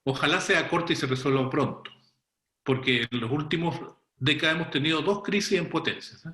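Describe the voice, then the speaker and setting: A man's voice: on the high side, a little fast, sharp tone, echoey room. A man on a panel show, giving political analysis in an explanatory way, with asides and self-corrections.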